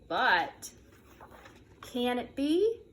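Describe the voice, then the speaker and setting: A woman preschool teacher reading a picture book aloud in a playful, expressive way, drawing out the sound words. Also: high-pitched. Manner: animated, playful, drawn-out sound words and repetitions.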